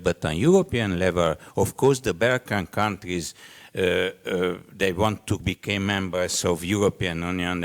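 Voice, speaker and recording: A man from Romania speaks live on a conference panel with a Hungarian-Romanian accent. His voice sits low and his delivery is markedly slow. He is halting in English, feeling for each phrase as he goes. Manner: halting, searching for words in non-native English.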